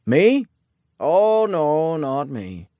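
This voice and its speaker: A man voicing a kindly, easygoing older Old West sheriff in a storybook, with a folksy, hesitant, calming manner. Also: on the high side, very slow, dry recording, pitched above the performer's own voice, exaggerated voice.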